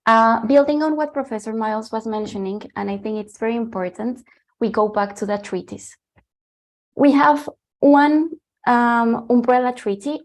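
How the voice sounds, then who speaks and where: A woman from Colombia with a Colombian Spanish accent, a native Spanish speaker giving a guest lecture over a video call. She explains briskly while she shares her slides, with frequent ums. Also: on the high side, a little mellow. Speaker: guest lecturer, former climate negotiator.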